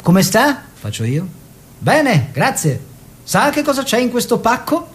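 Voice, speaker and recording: A man's voice: very high-pitched, huge pitch swings, unhurried, husky, heavily acted. An actor playing a genial aristocratic painter husband in an Italian radio drama, telling stories theatrically, mimicking dialogue and drawing out his words. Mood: amused, savoring. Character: Nino, genial aristocratic bohemian painter husband, fond raconteur. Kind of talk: Italian stage-style radio drama, narrated recollection.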